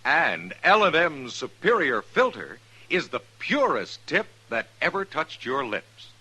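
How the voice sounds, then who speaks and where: A man reading a 1950s radio advertisement as announcer, with a husky voice and emphatic salesmanship. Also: on the high side, big pitch swings, measured pace, pushed and bright, low-quality recording. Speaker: commercial announcer.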